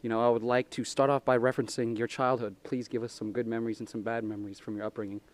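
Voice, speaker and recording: A man's voice: mid-range voice, level tone, fast. A young man hosting a college TV interview, formal and slightly stiff, partly reading a script. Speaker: student host.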